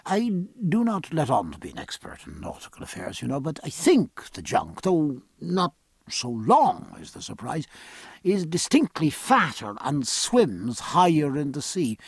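A man voicing a learned ship's surgeon, drily earnest, with a measured, formal and pedantic manner. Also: fairly high pitch, huge pitch swings, breathy edge, no echo, exaggerated voice.

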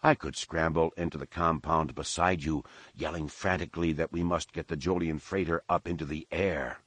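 A man voicing a disguised alien warrior, calm, cold and deliberate. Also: a bass voice, slightly rough, no room sound, pitched below the performer's own voice, exaggerated voice. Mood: coldly menacing. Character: menacing male alien warrior disguised as a soldier.

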